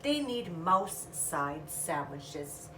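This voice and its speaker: A woman's voice: sits low, sing-song, slow pace, reverberant, far from the mic, noisy background, exaggerated voice. A woman voicing a polite, weary but patient mother mouse in a storybook reading. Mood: tired, patient.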